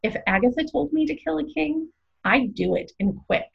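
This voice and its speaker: A woman's voice: high-pitched, lively intonation, moderate pace, creaky, highs cut off, heavily acted. A woman playing an opinionated, theatrical co-host of a theatre prediction show, speaking with emphatic, rhetorical phrasing in scripted banter. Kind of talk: scripted podcast banter and commentary.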